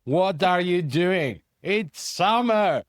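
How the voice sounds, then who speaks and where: A man with a Greek accent co-hosting a podcast, chatting casually and getting animated, his voice climbing high when worked up. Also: slow pace, no room sound, clean studio sound. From Greece. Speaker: podcast co-host. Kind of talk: casual chat.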